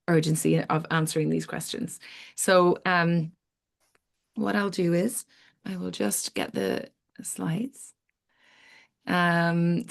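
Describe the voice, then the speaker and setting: Lower-pitched woman hosting a webinar. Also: gravelly, darkish tone. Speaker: webinar host, academic scientist. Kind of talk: informal webinar presentation.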